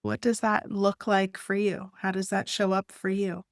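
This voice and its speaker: A woman leading a guided meditation, speaking gently in short, unhurried phrases with long pauses. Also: mid-range voice, flat delivery, no echo, studio quality.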